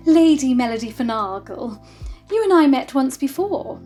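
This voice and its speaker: A woman's voice: high-pitched, expressive, moderate pace, darkish tone, faint music behind. An actress playing a poised, sociable titled lady with coolly polite, genteel manners in an audio drama.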